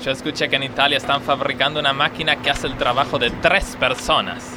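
A man's voice: mid-range voice, steady tone, fast talker, close to an everyday voice. Man playing an earnest Argentine worker, noisy backdrop. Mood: cautious, conspiratorial. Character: earnest, dreamy, cautious male factory worker. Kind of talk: radio comedy sketch acting.

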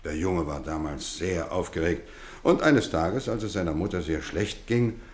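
A middle-aged man playing a kindly but strict boarding-school housemaster in a 1950s radio play, talking warmly with measured, gently teasing phrasing. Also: low-pitched, natural voice. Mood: storytelling. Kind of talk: 1950s radio drama acting. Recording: roomy studio echo.

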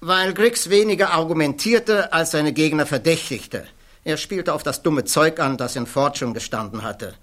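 An actor playing an irreverent, blunt physics professor giving testimony in a radio play, wry and outspoken. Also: male voice, a little high, normal speed, a bit bright, natural voice. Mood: matter-of-fact. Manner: wry, outspoken, swears casually.

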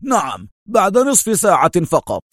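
A self-assured male tracker reporting to his chief. The pitch is pushed far up, the manner calm and certain, as in a dramatic audiobook. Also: moderate pace, breathy edge, dry recording, studio quality, heavily acted. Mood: calm, confident.

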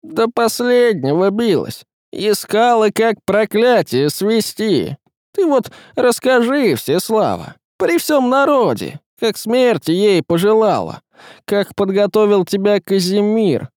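A man voicing a stern, reproachful woman in a storybook, severe and deliberate in manner. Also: high-pitched, very animated, a little mellow, dry recording, studio quality, voice pushed up, exaggerated voice. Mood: stern, accusing. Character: stern accusing woman revealing a dark secret.

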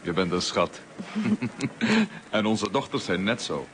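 Deep-voiced, genial, devoted husband. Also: male voice, huge pitch swings, takes it slowly, a bit bright, full character voice. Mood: fond. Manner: jovial, sociable. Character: genial, kindly husband, gently teasing and devoted. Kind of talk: radio drama acting. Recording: noisy old radio play recording.

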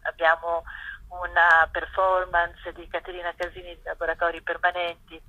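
A woman's voice: fairly low pitch, completely flat delivery, normal speed, dry recording. A woman speaking as an interview guest, explaining an event programme informally and hesitantly, searching for words as she goes. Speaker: festival curator, interview guest. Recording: dull lo-fi telephone-like line.

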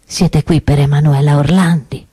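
A woman playing an outspoken, indignant preacher at Mass in a theatrical radio monologue, solemn and deliberate, with rhetorical pauses. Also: sits low, fairly even intonation, dark tone, breathy edge, no echo, studio quality. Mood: grave, indignant.

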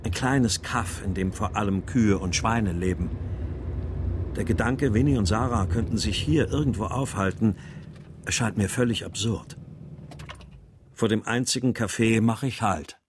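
An actor playing a troubled husband searching for his missing family, narrating and speaking in a measured, brooding way in a radio crime drama. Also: fairly low pitch, background noise, close to an everyday voice. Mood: weary, reflective. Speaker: lead actor and first-person narrator.

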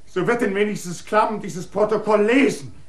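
A man's voice: high-pitched, moderate pace, natural voice. Guy as a stubborn restless land surveyor, brooding and defiant, radio play. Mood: wary. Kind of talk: radio drama acting.

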